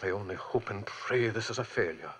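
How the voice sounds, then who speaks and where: An actor playing an indignant, pious professor in a 1940s radio drama, emphatic, moralizing and theatrical. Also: male voice, fairly low pitch, fairly quick, fairly bright tone, husky. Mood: alarmed reproach. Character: indignant, pious male professor, horrified and pleading. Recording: old 1940s radio recording.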